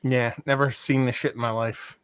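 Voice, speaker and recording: A man chatting with friends about beer on a video call, cursing freely and animated as he tells a story. His audio is dry and close. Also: medium pitch, normal speed, smooth, no echo. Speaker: beer channel host. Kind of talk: casual chat over beers.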